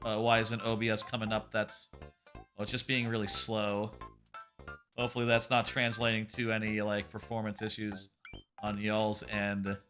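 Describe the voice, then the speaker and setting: Loud, bright-voiced male streamer. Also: lowish voice, flat delivery, moderate pace, faint music behind. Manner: loud-voiced, rambling, self-correcting. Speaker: livestreamer. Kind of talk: casual live gameplay chat. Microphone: about an arm's length from the microphone.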